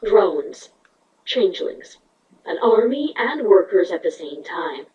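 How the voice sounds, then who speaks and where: A haughty female changeling queen played by a woman speaks slowly and grudgingly, with irritable curtness. The voice sits at a medium pitch, and the lo-fi recording sounds a little dull, like an amateur fantasy audio drama. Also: sing-song, darkish tone. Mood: curt, defensive. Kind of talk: amateur dramatic fan fiction reading.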